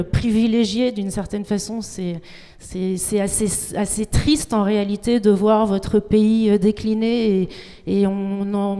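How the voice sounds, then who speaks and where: Une interprète à la voix douce et posée. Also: female voice, mid-range voice, warm tone, clean tone. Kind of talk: consecutive interpreting. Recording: long hall reverb.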